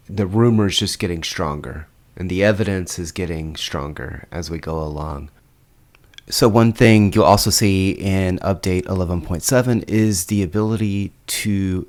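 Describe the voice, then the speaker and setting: Congested man, very low voice, rambling casual game guide talk. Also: smooth. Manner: rambling, many fillers and restarts. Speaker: game strategy guide host.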